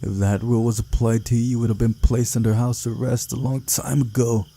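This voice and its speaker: A man's voice: medium pitch, little pitch movement, fairly quick, vocal fry, dark tone, breathy edge. A man voicing a weary, level-headed young man from a visual novel, dry and laconic. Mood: dry, dismissive. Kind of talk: visual novel character read-aloud.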